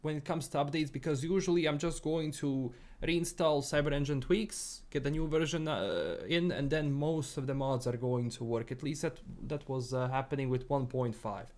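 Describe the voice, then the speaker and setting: A man's voice: fairly high pitch, moderate pace, hardly any pauses, darkish tone. A young man from Bosnia with a Bosnian accent, chatting casually on a livestream and rambling with lots of fillers and restarts. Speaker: streamer. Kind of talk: casual livestream chat, reading chat.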